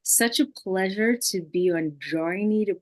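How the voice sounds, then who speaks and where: A woman from New York with a New York accent, a guest on a podcast, telling stories in a lively, animated way and acting out bits of dialogue. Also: mid-range voice, a little slow. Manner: lively, animated storyteller who acts out dialogue. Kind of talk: casual interview chat.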